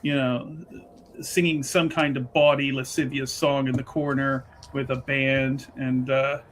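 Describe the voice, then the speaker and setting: A man's voice: mid-range voice, flat delivery, unhurried, slight background noise. A man playing a tabletop roleplaying game, chatting casually and agreeably as he improvises bits of the story. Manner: chatty, agreeable, often echoing the other speaker.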